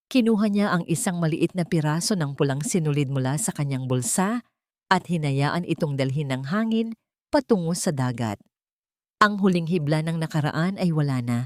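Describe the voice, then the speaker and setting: Low-voiced Filipino woman narrating a story. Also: dry recording, studio quality. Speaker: audiobook narrator. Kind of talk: scripted dramatic story narration.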